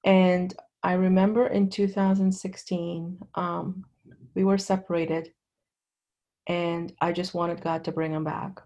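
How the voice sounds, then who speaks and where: A middle-aged woman from Lebanon with a fairly low voice and a gravelly fry. She tells her personal story candidly and conversationally, halting now and then as she goes. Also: level tone, dark tone. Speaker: interview guest. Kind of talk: personal testimony in casual conversation.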